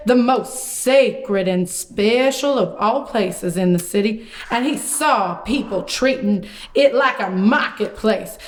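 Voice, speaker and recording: A woman voicing a folksy, excitable male prophet puppet who tells stories theatrically in a children's puppet show. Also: fairly high pitch. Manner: folksy, theatrical, drawn-out delivery.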